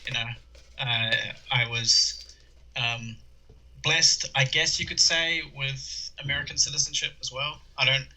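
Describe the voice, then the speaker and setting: A man's voice: medium pitch, fairly even intonation, a little slow, pushed and bright, rough recording. A young man from New Zealand with a New Zealand accent, chatting as a podcast guest, rambling with lots of fillers and restarts. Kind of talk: casual chat.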